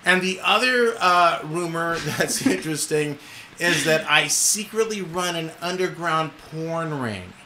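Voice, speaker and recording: A man's voice: fairly high pitch, expressive, a little slow, sharp tone, a little hiss or hum. A man hosting a casual radio chat in a studio, joking and rambling with lots of restarts. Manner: jokey and rambling, with many restarts. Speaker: radio host. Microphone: studio microphone with headphones.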